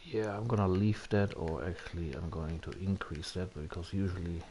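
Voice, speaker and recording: A low-voiced man streams a video game, talking casually to viewers. He thinks aloud, hesitating as he goes. A faint hiss sits behind his voice. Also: fairly even intonation, moderate pace, a little mellow. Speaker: live streamer hosting a video game broadcast. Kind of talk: casual gaming livestream chat.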